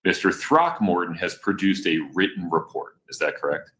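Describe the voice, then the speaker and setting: A man presiding as judge at a court hearing, asking measured, deliberate questions. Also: low-pitched, animated, fairly bright tone, slight background noise.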